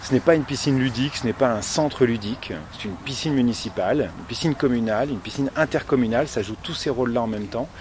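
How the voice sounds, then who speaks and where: A man's voice: medium pitch, fast, few pauses, noisy background. A man speaking spontaneously as an architect, explaining his project step by step.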